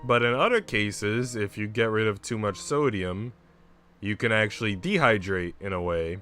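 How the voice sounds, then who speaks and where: A man's voice: fairly low pitch, normal speed, pushed and bright, soft background music. A man chatting informally and casually as he livestreams a game. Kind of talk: casual gaming stream chat and reading a visual novel aloud.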